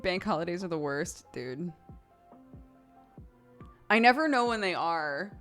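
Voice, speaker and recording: Giggly chatty woman streamer, lively pitch. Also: measured pace, soft background music. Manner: chatty, giggly, swears casually. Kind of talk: casual chat with stream chat.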